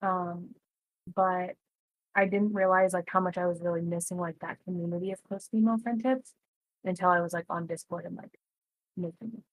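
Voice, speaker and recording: A woman in her early thirties talks on a podcast in a fairly low voice, recorded close and dry. She speaks earnestly and reflectively, often letting her sentences trail off softly. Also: fairly even intonation, moderate pace, dry recording, poor audio. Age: 30s. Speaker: podcast co-host. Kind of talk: casual chat.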